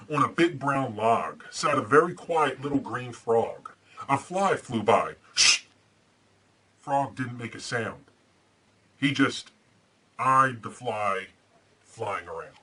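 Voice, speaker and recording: A man playing Batman as an earnest, deadpan superhero host who chats casually and reads storybooks aloud, with some hesitation. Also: mid-range voice, slow, pauses often, breathy edge, heavily acted. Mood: storytelling.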